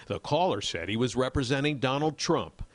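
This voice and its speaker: A man's voice: mid-range voice, animated, normal speed. An American man working as a television political reporter, delivering a news report. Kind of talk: scripted news report with some live studio talk.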